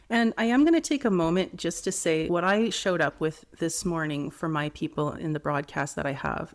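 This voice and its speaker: Woman, vocal coach, slightly hoarse, lowish pitch, calm, instructive. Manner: calm, instructive, gently encouraging. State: hoarse after a cold. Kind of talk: guided breathing lesson.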